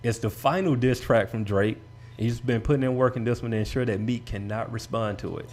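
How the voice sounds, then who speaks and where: A man hosting a casual talk show, giving steady affirmations and reading out news updates. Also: mid-range voice, brisk. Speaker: show host.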